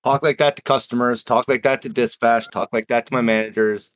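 A man's voice: mid-range voice, steady tone, a little fast, fairly bright tone, no room sound, clean studio sound. A man from Nova Scotia with a Canadian accent, chatting casually and swearing freely as a cast member of a game podcast. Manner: laid-back, swears freely, tangents. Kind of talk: casual chat.

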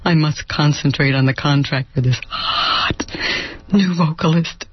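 Woman putting on a sassy bossy lady boss, lowish breathy voice, dry muffled recording. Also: unhurried, background noise. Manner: bossy, barking orders.

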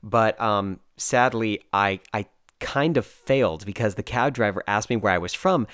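A low-voiced American man hosting a podcast, talking in a chatty, enthusiastic way and wandering into side stories. The sound is dry and close, with no room around it. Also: normal speed, fairly bright tone, smooth, no echo. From United States. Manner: enthusiastic, chatty, fillers and digressions. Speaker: podcast host. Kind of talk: casual podcast chat.

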